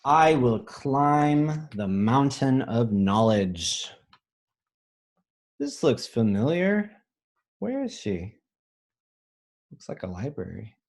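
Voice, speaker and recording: A man reading a children's picture book aloud as a teacher, pausing to think aloud and explain things simply. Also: mid-range voice, very animated, darkish tone. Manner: pauses to pose questions and think aloud.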